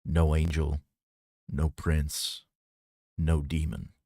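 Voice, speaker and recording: A man's voice: rumbling low, very slow, no room sound, clean studio sound, exaggerated voice. A man voicing a grim, relentless gunslinger in an audiobook, terse and coldly menacing. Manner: terse, flat demands.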